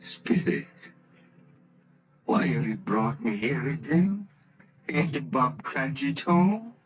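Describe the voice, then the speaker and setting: An actor playing a frightened old miser who pleads with a ghost in trembling, often whispered lines, in old-time radio drama style. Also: male voice, medium pitch, big pitch swings, slow pace, soft background music, rough recording. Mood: fearful pleading. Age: older. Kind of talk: 1940s radio acting.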